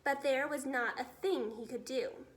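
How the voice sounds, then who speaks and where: A woman reading a children's story aloud as expressive scripted narration. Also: high-pitched, normal speed. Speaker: storybook reader.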